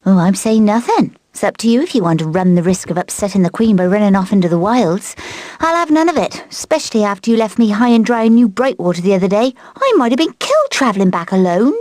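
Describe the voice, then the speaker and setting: An actress playing a gossipy, sulky young handmaid in a video game, with a sardonic, chatty manner. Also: female voice, mid-range voice, big pitch swings, quick pace, few pauses, a little mellow, no echo, lightly acted. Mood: huffy. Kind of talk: video game cutscene acting.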